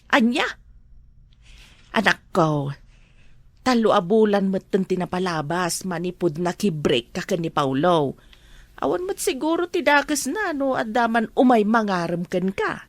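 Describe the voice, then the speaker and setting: A woman's voice: medium pitch, big pitch swings, unhurried, no echo. An actress with an Ilocano accent playing a worried, nagging middle-aged mother in a radio drama, pleading and fretful. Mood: coaxing.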